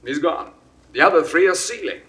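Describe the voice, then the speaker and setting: An actor playing a mocking Roman soldier in a 1960s radio play, theatrical and sardonic. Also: male voice, on the high side, expressive, fairly quick, sharp tone. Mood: wry.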